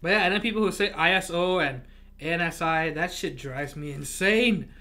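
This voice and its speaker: A man's voice: fairly high pitch, moderate pace, bright, forceful tone. A man whose first language is not English, chatting casually on a livestream, ranting animatedly and swearing freely.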